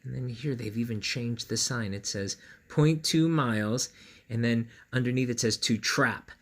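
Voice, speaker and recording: A man reading a picture book aloud to children, playful and knowing, with chatty asides and dramatic pauses. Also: medium pitch. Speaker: storyteller, school read-aloud host.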